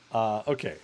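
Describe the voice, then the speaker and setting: A man hosting a live tech review, a loud voice, chatting casually through benchmark numbers with plenty of fillers. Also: lowish voice, slight background noise.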